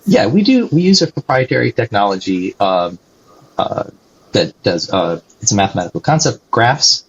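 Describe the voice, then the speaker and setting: A man's voice: lowish voice, very animated, normal speed, husky. A man being interviewed as a guest, explaining things animatedly and tagging sentences with "right?", on a dull lo-fi recording. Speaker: guest, company executive. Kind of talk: conversational interview answers.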